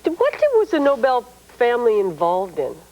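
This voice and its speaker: A woman's voice: a little high, huge pitch swings, muffled recording. Chatty woman hosting a daytime talk show. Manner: chatty, exclamatory, quick to react. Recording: late 1990s TV broadcast.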